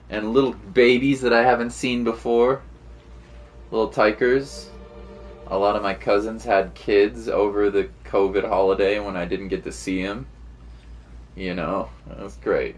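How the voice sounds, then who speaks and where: Deep voiced dude, chill slangy game stream chat, bit of music behind him. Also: male voice. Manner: laid-back slangy talk with mild swearing. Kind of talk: casual livestream chat and gameplay commentary.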